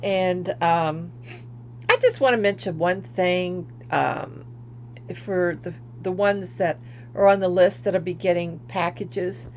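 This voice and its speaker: An older woman from Florida, chatting casually on her craft livestream, rambling and laughing at herself, often trailing off quietly. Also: on the low side, takes it slowly, highs cut off, a little hiss or hum. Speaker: craft vlogger.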